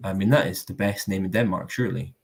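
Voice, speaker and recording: Deep voiced guy hosting a whisky tasting, lots of ums, chatty and self-deprecating. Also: fast, vocal fry. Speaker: host of a live whisky tasting. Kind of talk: casual hosting chat.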